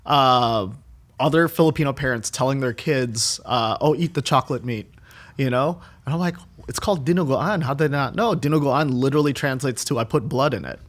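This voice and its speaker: A man's voice: mid-range voice, a little fast. Rambling guy chatting. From Chicago, USA. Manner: rambling, lots of "like" and "um", animated. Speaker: guest chef. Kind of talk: casual interview chat.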